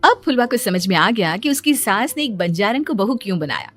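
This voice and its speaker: A woman's voice: medium pitch, very animated, a little fast, a bit bright, faint music behind. Woman narrating a cartoon story.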